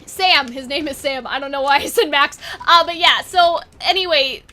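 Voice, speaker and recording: A woman's voice: high voice, normal speed, sharp tone. A woman chatting casually and informally, thinking aloud like a video creator brainstorming with fans. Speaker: online video creator and host. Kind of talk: casual fan brainstorming chat.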